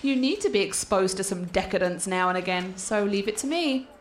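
A woman's voice: medium pitch, animated, brisk, a little hiss or hum, lightly acted. A woman playing a cultured, confident art scholar and teasing fiancée in a radio play, articulate and playful. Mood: playfully chiding.

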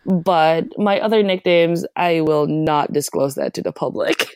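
A woman's voice: sits low, unhurried, darkish tone, smooth, dry recording. A woman from the Philippines, a guest in a casual interview, who laughs often and says "like" a lot. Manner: laughs often, leans heavily on "like".

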